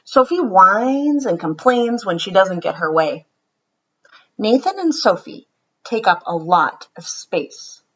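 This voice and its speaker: A woman reading a picture book aloud to young children in an explanatory, expressive teacher's style. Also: medium pitch, takes it slowly, muffled recording. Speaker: read-aloud host, teacher.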